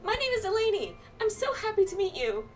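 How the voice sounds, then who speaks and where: A woman voicing a tiny, excitable female spirit companion in a game, gushing and bubbly. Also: very high-pitched, fairly quick, a little hiss or hum, voice pushed up. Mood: excited. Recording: distant mic, game music behind.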